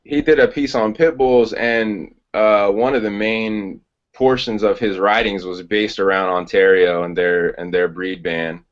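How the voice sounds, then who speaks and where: A man hosts a podcast interview, asking questions at a measured, thoughtful pace with plenty of "uh." His voice is on the low side. The audio is dull and lo-fi, like a video call. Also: steady tone. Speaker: podcast host. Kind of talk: casual interview.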